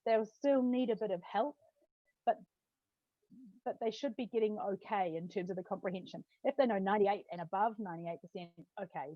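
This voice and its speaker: A woman's voice: mid-range voice, dry recording. A woman giving an academic talk in a chatty way, often adding "right?" and restarting her phrases. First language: not Hungarian; Hungarian is her second language. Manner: conversational, tag questions, frequent restarts. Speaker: lecturer. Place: home study with bookshelf. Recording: dull, dry lo-fi sound.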